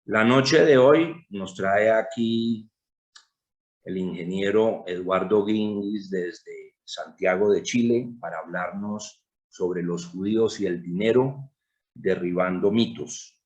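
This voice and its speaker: Slow, low-voiced Colombian host. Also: male voice, darkish tone. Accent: Colombian Spanish. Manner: formal, announcer-like. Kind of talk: introducing a guest. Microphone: video call.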